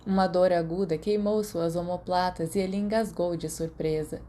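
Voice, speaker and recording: Brazilian lady reading an audiobook, soft dark tone, bit of background noise. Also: lowish voice, fairly even intonation, normal speed. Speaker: podfic narrator. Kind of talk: scripted audiobook narration.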